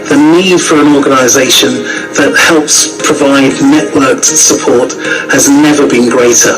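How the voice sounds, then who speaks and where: A man's voice: medium pitch, fairly even intonation, measured pace, rough recording. A man reading scripted promotional narration with a call to action. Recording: music playing behind the voice.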